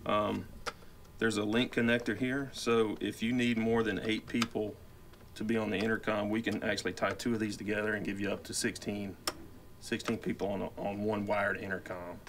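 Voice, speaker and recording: Man, forties, very even intonation, informal technical walkthrough, explanatory, noticeable background room noise. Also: on the low side, normal speed. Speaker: company product expert. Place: indoor office workbench.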